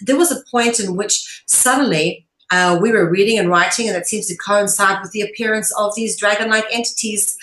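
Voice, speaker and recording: A woman's voice: mid-range voice, a little fast, hardly any pauses, fairly bright tone. Woman, mid-range voice, interview guest, earnest lecturing manner, emphatic, roomy echo. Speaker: interview guest, online show presenter. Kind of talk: informal interview, explaining at length. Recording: echoey room.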